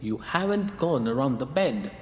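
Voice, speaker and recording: A man playing a calm, gently teasing male muse in a radio drama. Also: medium pitch, moderate pace, lightly acted. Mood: wry. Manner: wry, calm, coaxing. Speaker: the writer's muse. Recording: long reverb, faint noise.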